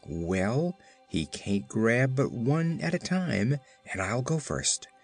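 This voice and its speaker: A man's voice: medium pitch, expressive, slow, breathy edge, faint music behind, heavily acted. A man voicing an earnest, practical living scarecrow in a storybook, with a plucky, matter-of-fact manner. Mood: earnest, planning.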